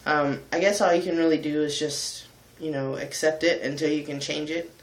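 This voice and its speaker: A man talking candidly in a casual vlog, thoughtful and pausing often with ums. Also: on the high side, steady tone, echoey room, poor audio. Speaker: vlogger and singer. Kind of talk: casual reflective vlog talk.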